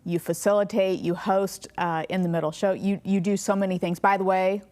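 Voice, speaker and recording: A woman hosting a faith podcast, asking questions and affirming her guest warmly with quick agreements. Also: mid-range voice, level tone. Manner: affirming, encouraging, many quick yeahs. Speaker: podcast host.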